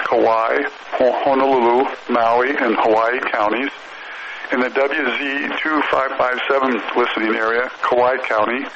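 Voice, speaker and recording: A man reading a scripted public announcement as a weather radio announcer. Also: medium pitch. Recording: lo-fi radio broadcast, clear static.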